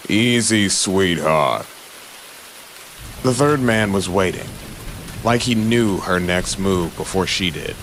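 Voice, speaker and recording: A man delivers dramatic, suspenseful audio drama narration in short punchy phrases. His pace is slow, and rain and street ambience are clearly heard behind him. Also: sits low, a little hiss or hum.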